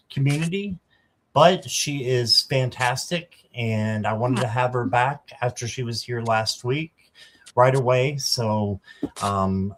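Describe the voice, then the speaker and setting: Slow-talking man in his fifties hosting. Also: medium pitch, slight background noise. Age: 50s. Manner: slow, halting, greets viewers by name with many ums. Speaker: livestream host. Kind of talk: casual livestream chat.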